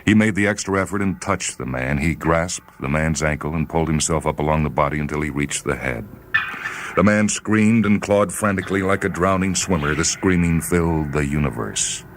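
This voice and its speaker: Male narrator, very deep, solemn and theatrical, for dramatic fiction reading; faint sound bed behind. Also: a little hiss or hum. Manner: grave, measured, theatrical emphasis. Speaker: narrator, story reader. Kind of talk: dramatic scripted short-story narration. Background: faint ambient sound.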